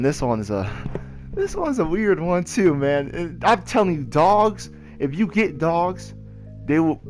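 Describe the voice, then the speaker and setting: A young man telling personal stories in a casual, rambling, slangy and excitable way. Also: medium pitch. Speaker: video creator telling personal ghost stories. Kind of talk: casual storytelling over gameplay. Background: music.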